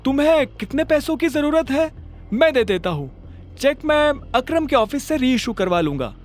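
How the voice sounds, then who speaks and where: Audio drama: bossy male bank manager, sharp and taunting, raised pitch, music underneath performed by a man narrating. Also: big pitch swings, moderate pace. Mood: brisk, matter-of-fact. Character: bank manager, scolding, commanding gang insider man.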